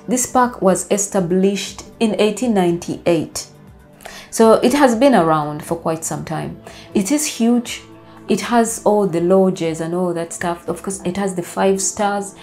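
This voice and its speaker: A woman's voice: on the low side, darkish tone. A Ugandan woman in her thirties chats straight to camera, her English shaped by her first language, Luganda. She talks things through as she goes and gestures freely with her hands. Faint music plays behind her lapel-miked voice. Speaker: travel vlogger. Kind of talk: casual talk to camera. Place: bright living room indoors. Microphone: lapel mic.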